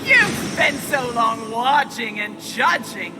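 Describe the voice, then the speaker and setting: A woman's voice: on the high side, animated, slow, over a noisy crowd, heavy background noise. A woman playing a haughty, spiteful female demon villain in a video game, with a taunting, theatrical, venomous delivery. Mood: defiant rage. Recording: long hall reverb under loud music.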